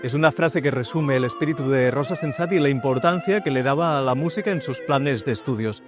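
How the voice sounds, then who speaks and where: Measured man narrating over music. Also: mid-range voice. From Spain. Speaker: author presenting his book. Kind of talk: documentary narration on location. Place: outdoors in city streets.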